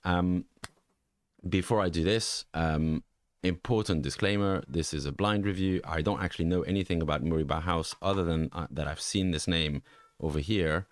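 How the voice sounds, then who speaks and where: A man's voice: low voice, moderate pace. A middle-aged man from French-speaking Switzerland with a Swiss French accent, hosting a casual market commentary and thinking aloud with frequent fillers and restarts. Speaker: NFT market analyst host. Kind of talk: casual screen-share commentary.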